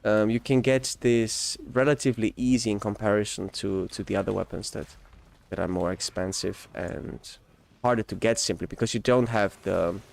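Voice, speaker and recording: A man's voice: lowish voice, steady tone, moderate pace, gravelly, hardly any pauses, darkish tone. Austrian-born man streaming a game. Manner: rambling, frequent restarts and self-corrections; enthusiastic, laughs often. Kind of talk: casual live-stream gameplay chat.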